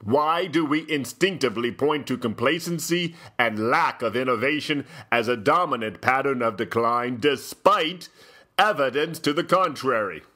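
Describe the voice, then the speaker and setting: A man playing a pompous, theatrical aristocratic gentleman who hosts mock-highbrow dramatic readings with grand, deliberate, drawn-out phrasing. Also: lively intonation. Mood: grave, dramatic. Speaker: host.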